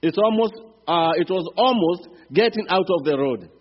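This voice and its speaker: A man's voice: high voice, lively intonation, breathy edge. A man from Kenya with a Kenyan accent, preaching as a visiting pastor in earnest, building fervor. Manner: earnest preaching, rising fervor, some halting restarts. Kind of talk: sermon. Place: church sanctuary. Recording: reverberant church hall, dull sound.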